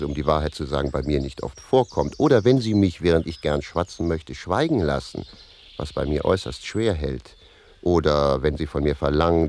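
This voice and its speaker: A man's voice: sits low, darkish tone, a little hiss or hum. A man playing a talkative, cheeky servant in a radio play, philosophising with sly mock deference.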